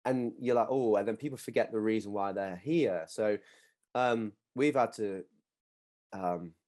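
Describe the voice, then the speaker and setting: A man hosting a podcast who agrees warmly with his guest and calls him brother. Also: fairly low pitch, a little slow. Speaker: podcast host, men's mental health group founder. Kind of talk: casual podcast conversation.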